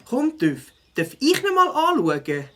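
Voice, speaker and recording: Woman as young beaver hero, unhurried, caring, earnest, plain-spoken, Swiss accent, children's audio drama. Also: high-pitched, huge pitch swings, bright, forceful tone, heavily acted. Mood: caring, concerned.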